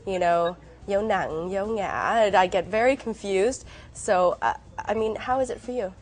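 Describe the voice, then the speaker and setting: A woman's voice: medium pitch, a little slow. A woman hosting a casual interview, a little sick, who laughs often and exclaims with delight. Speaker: talk show host.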